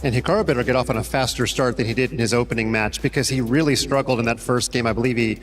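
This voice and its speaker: Quick-talking male chess analyst. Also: medium pitch, fairly even intonation, soft background music. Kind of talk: conversational sports analysis.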